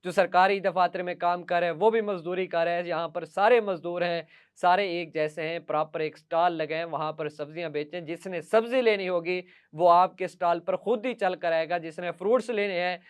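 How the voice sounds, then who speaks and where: A man's voice: high-pitched, steady tone, brisk, few pauses, smooth. A man with a Pakistani accent presenting news commentary straight to viewers, with emphatic, repetitive listing.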